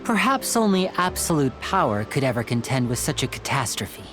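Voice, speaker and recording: An actress playing a composed, earnest and mysterious young woman in a video game, with measured, articulate, theatrical delivery. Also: very deep voice, sing-song, faint music behind. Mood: composed, wry.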